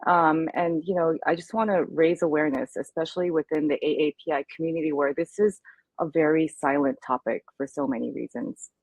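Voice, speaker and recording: A woman's voice: deep voice, steady tone, brisk, a little mellow, dry recording, low-quality recording. A woman speaking in an interview, earnest and reflective as she talks through her experience. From United States. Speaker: guest speaker. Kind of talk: candid interview conversation.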